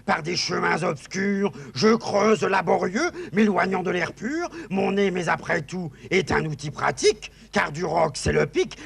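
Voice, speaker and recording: A vain, touchy fox from a children's show declaims grandly in verse. The voice sits high, with a very heavy creak. The words come slowly and deliberately. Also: male voice, fairly bright tone, heavily acted. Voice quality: creaky, gravelly. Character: proud long-nosed talking fox, touchy but grandiloquent.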